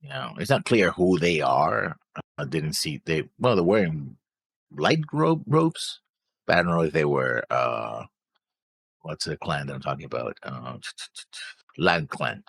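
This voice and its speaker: A man's voice: a bass voice, lively intonation, unhurried, creaky, fairly bright tone, dry recording. A man thinking aloud in a casual discussion, hesitant and full of theories. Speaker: anime reaction show co-host.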